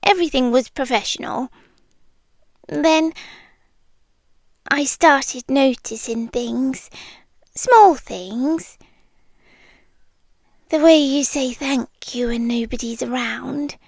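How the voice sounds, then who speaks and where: A British woman playing a shy, smitten under-the-bed creature in an intimate ASMR roleplay, soft-spoken and often whispery, awkward and deadpan. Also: sits high, takes it slowly, vocal fry, pauses often, no echo, studio quality, full character voice. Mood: earnest, confiding. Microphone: close dry microphone.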